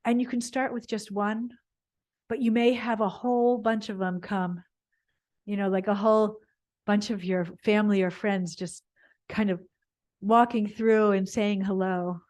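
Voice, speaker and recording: A woman yoga teacher guides a body scan at a very unhurried pace. Her pitch sits in a middle range for a woman. She speaks off the cuff, meandering and pausing to find her words as she gives spiritual instruction. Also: level tone, darkish tone. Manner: meandering, halting, searching for words.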